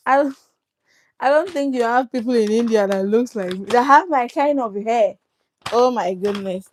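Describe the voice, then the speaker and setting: A woman's voice: fairly high pitch, moderate pace, a little hiss or hum, low-quality recording. A young Nigerian woman with a Nigerian accent, hosting a casual live Q&A and chatting through viewer questions. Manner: chatty, reads viewer questions aloud and answers them. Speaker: livestream host.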